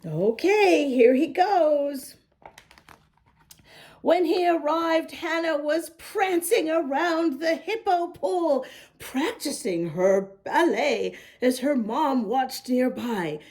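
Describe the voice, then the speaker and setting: Female storybook reader for young children: high, lively pitch, unhurried delivery, expressive. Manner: animated, deliberate, with asides to little listeners. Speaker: children's picture book reader.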